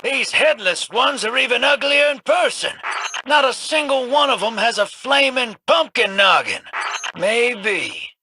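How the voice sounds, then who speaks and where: An actor playing a cocky, folksy old gunslinger rogue in a video game, talking with a drawling, wisecracking swagger. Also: male voice, very high voice, expressive, unhurried, sharp tone, a little hiss or hum, heavily acted. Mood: wry. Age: older.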